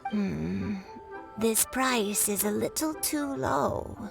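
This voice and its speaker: A woman playing a kindly, weary old granny shopkeeper in a video game, gentle, drawn-out and singsong. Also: mid-range voice, animated, vocal fry, exaggerated voice. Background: music.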